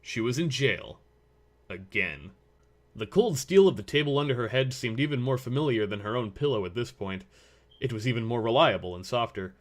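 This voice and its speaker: A man reads a story aloud as narrator. His voice sits at an average male pitch, and the delivery is scripted narration. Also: lively intonation, moderate pace.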